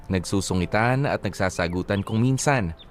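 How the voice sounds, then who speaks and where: A man playing a troubled young working man, narrating his letter in an earnest, measured way for radio drama. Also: lowish voice, lightly acted. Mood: earnest, reflective. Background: music.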